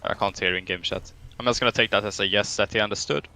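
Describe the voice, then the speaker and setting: A man's voice: on the low side, fairly even intonation, quick pace, sharp tone, a little hiss or hum. A young man with a Swedish accent running a roleplay police training, stern and drill-like with dry humor. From Sweden. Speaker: training officer.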